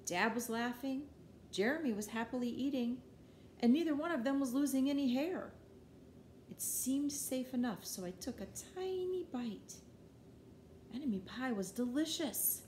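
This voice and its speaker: A middle-aged woman giving an expressive children's storybook read-aloud, with measured, dramatic emphasis on key words. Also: sits high. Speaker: teacher reading a picture book aloud. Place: living room at home, indoors. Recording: roomy echo, noticeable background noise.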